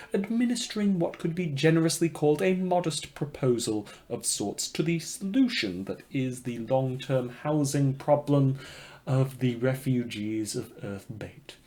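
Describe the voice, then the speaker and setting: A man's voice: on the high side, lightly acted. A man playing a polite but murderous radio host in a fiction podcast, wordy and theatrical, with a genteel, mock-apologetic manner. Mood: genteel menace. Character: polite, murderous, inhuman male radio host, darkly cheerful. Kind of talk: scripted fiction podcast monologue as radio broadcast.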